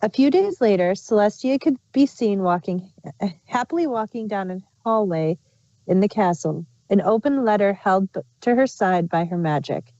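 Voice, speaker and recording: A woman's voice: mid-range voice, lively intonation, moderate pace, mellow, no echo, highs cut off. A woman reading scripted fan fiction aloud as the narrator.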